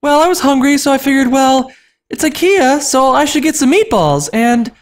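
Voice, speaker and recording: Sheepish, breezy ginger-haired kid sister for comic fanfic, acted in a raised voice; high, lively delivery. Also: normal speed. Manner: casual, sheepish, sassy. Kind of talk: dramatic reading of comic fan fiction.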